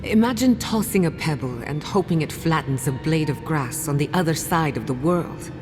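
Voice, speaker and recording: Loud music sits behind a low female voice in a reverberant space. a confident scholar-adventurer in a fantasy game, articulate, wry and keen to explain. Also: lively intonation, normal speed. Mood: intrigued. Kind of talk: scripted video game acting.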